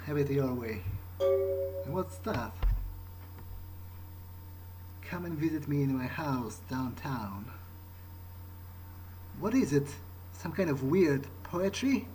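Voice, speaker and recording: A man role-playing a rueful, bewildered new vampire in a video game, thinking aloud in a halting, rambling way with dry sarcasm. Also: mid-range voice, animated, darkish tone, a little hiss or hum, rough recording. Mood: dry, puzzled. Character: rueful, bewildered newly turned young male vampire. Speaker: gaming role-player. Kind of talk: in-character improvised game monologue, thinking aloud.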